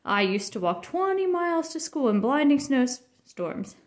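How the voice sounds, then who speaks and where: A woman voicing a loud, grumpy, easily outraged father bear in a children's storybook. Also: high voice, big pitch swings, measured pace. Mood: blustering outrage. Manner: blustering, exclamatory.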